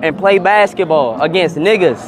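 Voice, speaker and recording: Slangy high-voiced man streaming in a crowd. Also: expressive, normal speed, low-quality recording. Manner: slangy, swearing, talks to chat. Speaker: livestreamer. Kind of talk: casual livestream chat. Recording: loud crowd noise behind.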